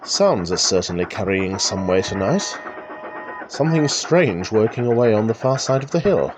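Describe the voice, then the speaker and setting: An actor playing a chatty, wry country rambler who thinks aloud, musing and exclaiming in old-fashioned idiom, in a radio drama monologue. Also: male voice, lowish voice, sing-song, moderate pace, noisy background. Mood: curious, admiring. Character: chatty male country rambler talking to himself, wry and excitable.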